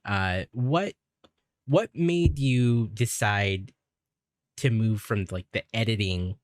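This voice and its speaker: A man with a low voice hosts a casual podcast interview. He asks his questions haltingly and restarts his phrases often. The recording is dry and close. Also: no echo. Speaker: podcast host.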